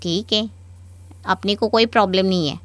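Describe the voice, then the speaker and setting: A woman's voice: medium pitch, steady tone, moderate pace, a bit bright, no echo, natural voice. A woman playing a practical, money-minded housemaid in a Hindi cartoon story, speaking bluntly in colloquial street speech. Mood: agreeable.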